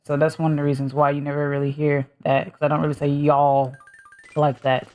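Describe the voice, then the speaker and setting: A woman's voice: low voice, flat delivery, fairly quick, darkish tone, soft background music. A woman with a mild Southern US accent, chatting casually on a stream and rambling as she thinks aloud with lots of fillers. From Southern United States.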